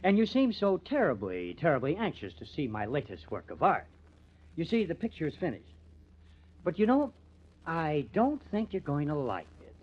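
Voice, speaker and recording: Sardonic, menacing newspaper artist, animated delivery. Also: male voice, a little high, normal speed, breathy edge. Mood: sardonic, taunting. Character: tense, bitter newspaper artist turned murderer. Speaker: radio drama actor. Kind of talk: old-time radio crime drama acting. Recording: muffled vintage radio recording.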